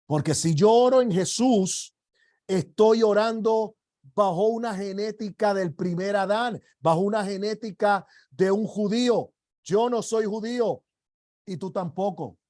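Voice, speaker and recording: Man, sermon, emphatic declarations, drawn-out delivery, high voice. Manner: slow, emphatic preaching with rising shouted declarations. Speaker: apostle teaching a ministry class.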